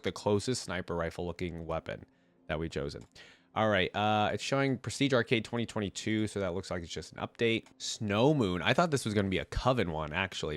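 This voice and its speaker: A man's voice: fairly low pitch, no room sound. A man with a cold giving casual, off-the-cuff reaction commentary as a video host, informal and slangy as he thinks aloud. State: congested from a cold.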